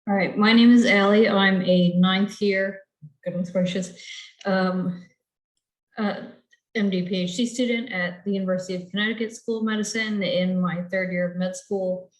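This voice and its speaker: A young woman on a video call in an echoey room, introducing herself casually and haltingly as a student panelist. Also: medium pitch, fairly even intonation. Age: young adult. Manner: halting, weary.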